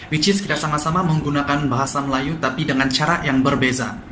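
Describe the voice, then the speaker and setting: A man from Sabah with a Sabah Malay accent, explaining language differences in a quick, lively vlog style and mixing in English words. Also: fairly high pitch. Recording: echoey room with faint noise.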